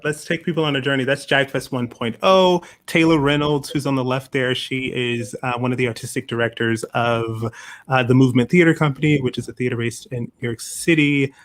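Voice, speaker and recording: A man's voice: mid-range voice, steady tone, a little fast. A man co-hosting an online event in casual, loosely phrased conversational talk. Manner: informal, loosely phrased with frequent "like". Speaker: festival founder and co-host. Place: online video call.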